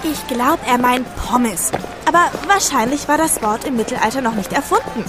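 High-pitched friendly schoolgirl, voiced by a woman. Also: normal speed, music in the background, lightly acted. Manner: friendly, inquiring. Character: friendly, caring twin schoolgirl. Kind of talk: children's audio drama acting.

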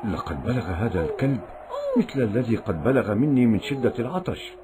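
An actor playing a pious, kind-hearted desert traveler in a children's cartoon, with a theatrical, exclamatory delivery. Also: male voice, on the low side, animated, a little fast, crowd noise, natural voice. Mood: pitying, worried. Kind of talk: Islamic children's cartoon acting in formal Arabic.

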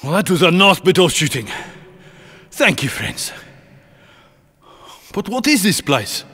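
An actor playing a cheeky, rough-talking ally of a heist crew in a video game, casual and matey. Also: male voice, high voice, very animated, unhurried, fairly bright tone, full character voice. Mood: amazed. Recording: long hall reverb.

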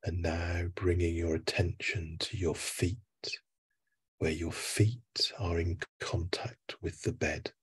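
A man giving guided sleep hypnosis as a hypnotherapist, soothing and hushed, with long pauses between phrases. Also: sits low, little pitch movement, slightly rough.

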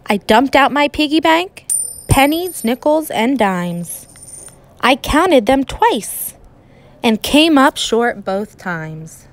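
A woman teacher reading a children's storybook aloud in an expressive, emphatic way with dramatic pauses. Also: high-pitched.

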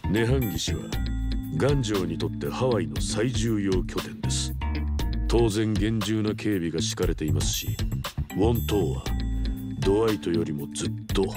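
An actor playing a cool, calculating executive fixer in a video game, speaking with stern, measured politeness. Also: male voice, sits low, loud music behind the voice, close to an everyday voice. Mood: coolly explaining. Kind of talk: Japanese video game cutscene acting.

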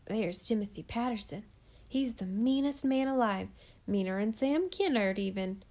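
Brief: a woman doing a spiteful eccentric gossip for a storybook, loud, scornful, voice a touch higher than her own. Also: lively intonation, normal speed, lightly acted. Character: sharp-tongued gossiping eccentric woman in church.

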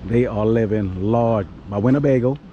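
A man's voice: medium pitch, normal speed, lo-fi sound, slight background noise. A man in his fifties, a vlogger, chatting upbeat and exclaiming as he tours a campground and an RV. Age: 50s. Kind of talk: casual chat. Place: outdoors at a campground and inside an RV.

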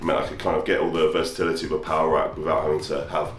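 A man's voice: very deep voice, level tone, racing. A man, chatting casually as he walks through his home gym and points out the equipment. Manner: chatty, matter-of-fact, walking around pointing at kit. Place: small wooden home gym barn. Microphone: handheld camera close up. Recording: echoey wooden room with faint music.

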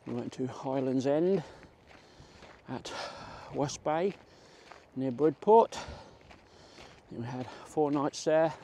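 A man's voice: medium pitch, takes it slowly, dark tone. A middle-aged man, chatting casually as a vlogger while walking outdoors. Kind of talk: casual walk-and-talk chat. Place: outdoors in a country park. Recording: faint outdoor ambient noise.

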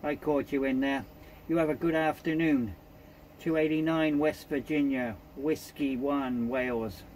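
A British man with a fairly high voice makes CB radio calls. He repeats callsigns and phrases as he works his contacts. Some radio noise runs through the recording. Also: steady tone, unhurried, a little mellow, rough recording. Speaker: CB radio operator.

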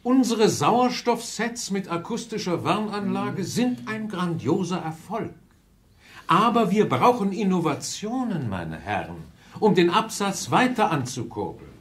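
An actor playing a zealous, self-important business executive, speaking emphatically in a radio drama. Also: male voice, high voice, big pitch swings, measured pace. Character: zealous, restless business executive pushing gimmicky innovations.

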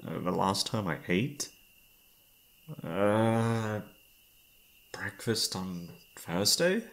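A man's voice: on the low side, lively intonation, drawn-out words, exaggerated voice. A man playing an exhausted, affectionate young boyfriend in an intimate ASMR roleplay, speaking hesitantly with sighs. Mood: weary, reluctant. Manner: tired, sighing, hesitant, soft-hearted.

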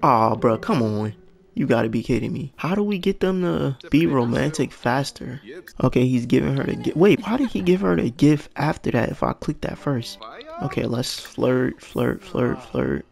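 A man casually commenting on his game, thinking out loud and getting excited as things happen, with a raspy voice. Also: mid-range voice, normal speed. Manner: thinks aloud, excited and unsure. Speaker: gaming channel host.

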